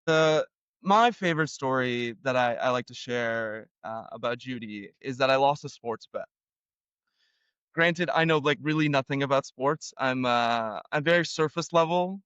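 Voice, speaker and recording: A young man from Argentina, a podcast guest telling a story in a relaxed, self-deprecating way with lots of fillers. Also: medium pitch, measured pace, smooth, no room sound, dull audio. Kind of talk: casual storytelling.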